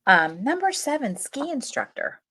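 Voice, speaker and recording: A woman's voice: high-pitched, very animated, normal speed, bright, forceful tone, breathy edge. A young woman co-hosting a podcast, telling stories in a chatty, laughing way. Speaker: podcast co-host. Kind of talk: casual chat.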